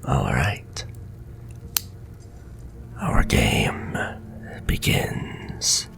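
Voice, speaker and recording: Man playing a deep, whispering sadistic warlock. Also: wildly swooping pitch, full character voice. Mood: smooth, calculating. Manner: slow, taunting, breathy murmur with soft chuckles. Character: sly, sadistic, flirtatious male warlock striking a cruel bargain. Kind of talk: whispered ASMR fantasy roleplay.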